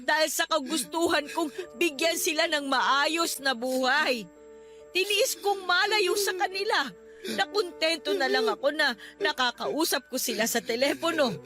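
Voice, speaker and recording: Mataas ang boses na sumbaterang yaya. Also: female voice, high voice, a little slow, music in the background. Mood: hurt, tearful. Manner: emotional, reproachful, preachy. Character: stern, hurt older nanny scolding her grown ward. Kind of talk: Filipino radio drama acting.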